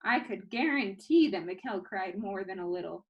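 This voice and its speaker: A woman reading a storybook aloud as a teacher, with expressive narration and an informal manner. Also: mid-range voice, moderate pace, muffled recording. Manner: informal, chatty asides.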